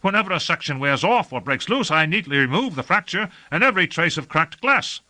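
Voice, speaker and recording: A man's voice: on the high side, very animated, brisk, bright, forceful tone, voice pushed up, exaggerated voice. A man voicing a servile, defensive gunner in an audiobook, protesting and making excuses. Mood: defensive, pleading. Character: servile, defensive male gunner devoted to his machine.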